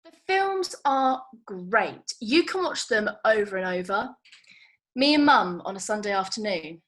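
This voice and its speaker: A woman's voice: mid-range voice, sing-song, unhurried. A woman reading the part of a feisty, blunt, working-class young woman in a comedy script, colloquial and mocking. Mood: dismissive. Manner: colloquial, mocking, non-standard grammar. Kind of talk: table read of a comedy screenplay.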